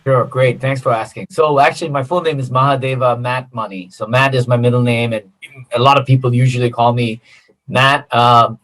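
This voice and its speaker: A middle-aged man from the United States, a consultant guest answering interview questions in a relaxed, friendly way with many fillers. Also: medium pitch, normal speed, poor audio.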